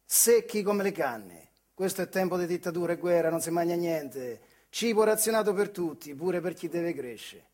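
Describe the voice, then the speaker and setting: A man's voice: high-pitched, expressive, normal speed, hardly any pauses, darkish tone. An actor playing a retired Italian factory worker with a central Italian accent from the Marche, telling his boyhood memories in an earthy, colloquial theatrical monologue. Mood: reminiscing. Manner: earthy, colloquial, acts out dialogue. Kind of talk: theatrical monologue storytelling in dialect-tinged speech.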